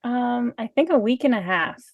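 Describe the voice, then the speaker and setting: A woman's voice: on the high side, animated, moderate pace, vocal fry, phone-quality sound. A woman interviewed as a guest on a podcast, giving short friendly answers. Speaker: podcast guest, sauna owner. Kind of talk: casual interview.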